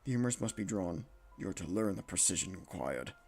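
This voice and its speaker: A man's voice: deep voice, expressive, a little fast, vocal fry, darkish tone, faint music behind. A man voicing a stern, severe father and physician in a gothic story, giving harsh commands in an attempted British accent sliding into Scottish. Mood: stern, commanding. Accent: attempted British slipping into Scottish.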